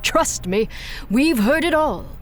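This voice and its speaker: An actress playing a businesslike, wry recruiter for supervillain henchmen in an audio drama, talking in a dry, reassuring way. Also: female voice, high voice, expressive, slow, soft background music. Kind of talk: audio drama acting, conversational job interview.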